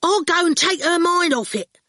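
A woman's voice: high voice, very animated, slow pace, a bit bright, no room sound, studio quality, pitched above the performer's own voice, full character voice. A woman voicing a bold, streetwise youngster who bargains in a casual, slangy way for a story. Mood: challenging.

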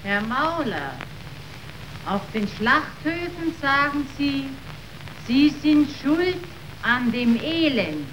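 Sehr langsam sprechende junge Idealistin. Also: female voice, high voice, big pitch swings, background noise, full character voice. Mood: earnest, resolute. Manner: solemn, deliberate. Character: earnest, idealistic young woman preacher to the poor. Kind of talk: declaimed radio drama acting.

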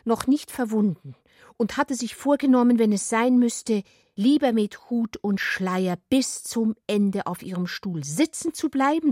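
A woman narrates literary radio drama in an expressive, deliberate way, with a breathy voice that slips toward a whisper. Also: medium pitch, no room sound, studio quality. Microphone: close, dry studio microphone.

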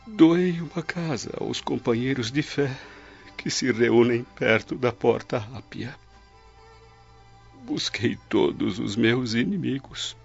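An actor playing a dying, repentant older Roman nobleman in a radio drama, weak, breathy and faltering, with solemn, pleading delivery. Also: mid-range voice, exaggerated voice. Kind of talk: old radio drama acting. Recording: dull lo-fi radio drama with music.

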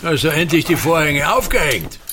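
An actor playing a pompous, bitter, tyrannical ageing actor-manager in a radio play, declaiming and haranguing with a husky voice. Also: male voice, a little high, lively intonation, moderate pace, background noise, lightly acted. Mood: bitter, ranting. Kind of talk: theatrical radio play monologue.

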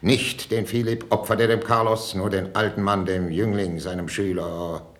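A man's voice: sits low, little pitch movement, normal speed. An actor playing a bitter, wounded ageing king in a classical 1950s radio drama, declamatory and imperious. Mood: anguished, bitter. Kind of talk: classical 1950s German stage-style radio acting.

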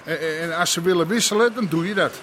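An actor voices a gruff, streetwise gangster boss from in a radio play, blunt and coarse, talking as someone who knows everyone can be bought. His voice sits a little high for a man. A faint hiss lies under the recording. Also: fast, fairly bright tone, close to an everyday voice. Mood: instructing. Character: middle-aged Amsterdam underworld boss, gruff, scheming. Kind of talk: radio drama acting.

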